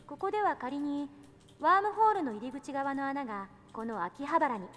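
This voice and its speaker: A woman's voice: high voice, animated, fast talker, fairly bright tone. An actress playing a brainy, assertive young woman scientist in a visual novel, explaining things like a lecturer. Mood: keen, lecturing. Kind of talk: Japanese visual novel voice acting. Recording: reverberant game audio over music.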